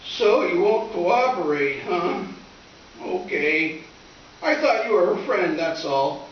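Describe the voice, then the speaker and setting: A man's voice: sits high, lively intonation, takes it slowly, highs cut off. A man playing a scheming, melodramatic teenage schoolboy with slangy, whiny delivery in old-time radio comedy style. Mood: wounded guilt-tripping. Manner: whiny, exclamatory, theatrical pleading. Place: live stage performance in a reverberant room. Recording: echoey distant stage recording with hiss.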